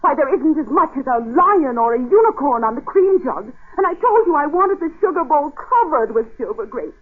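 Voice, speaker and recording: An imperious society lady in a vintage radio play. Her voice is high and swoops with indignation. She complains in an affected, snobbish manner, through a narrow, muffled old broadcast sound. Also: sing-song, normal speed. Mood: indignant. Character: haughty, indignant grand lady customer, irate as a turkey. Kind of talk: 1940s radio acting.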